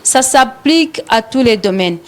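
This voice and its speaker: A woman's voice: a little high, animated, normal speed. Hesitant Rwandan woman hosting a radio show. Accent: Rwandan French. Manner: hesitant, many fillers and repeated words. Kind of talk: live studio talk show.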